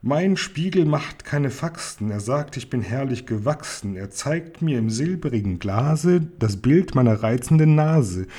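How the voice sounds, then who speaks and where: Casting: vain, nasty, self-adoring fat aunt played by a male narrator, mellow, pompous delivery for a children's audiobook. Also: medium pitch, lively intonation, a little slow, few pauses. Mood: smug, self-admiring. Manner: pompous, declaiming in rhyme.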